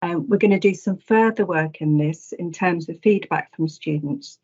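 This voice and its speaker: A woman academic giving a halting, hesitant slide presentation on a webinar. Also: lowish voice, darkish tone. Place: online webinar call. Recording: dull lo-fi webinar audio.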